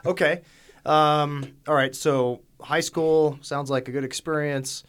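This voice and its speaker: A man's voice: fairly high pitch, fairly even intonation, slow, clean tone. A man in his forties hosting a podcast interview, upbeat and chatty, joking at his own expense. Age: 40s. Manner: upbeat, chatty, self-deprecating. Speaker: podcast host. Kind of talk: casual interview chat.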